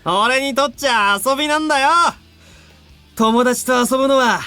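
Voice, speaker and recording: Gleeful cocky anime rival, high sharp voice, taunting and boisterous, game music under it. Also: male voice, quick pace, smooth. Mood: gleeful mocking. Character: cocky, gleeful young male rival villain in a battle. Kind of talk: Japanese anime visual novel acting.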